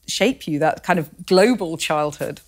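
Low-voiced woman radio interviewer. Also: lively intonation, unhurried. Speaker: radio host.

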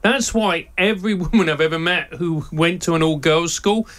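A man with a South London accent whose first language is Spanish, a former teacher and podcast co-host, talking in an interview with wry, lively storytelling. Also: fairly high pitch, normal speed. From England. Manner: lively anecdotal delivery with wry humour and laughs.

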